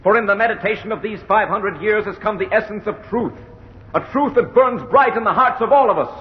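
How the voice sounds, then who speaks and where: Man acting a high-pitched, fervent revolutionary leader. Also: a little fast, slight background noise, lightly acted. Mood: fervent, rousing. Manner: declamatory, solemn, oratorical. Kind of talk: 1940s radio drama acting. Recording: dull old radio drama recording.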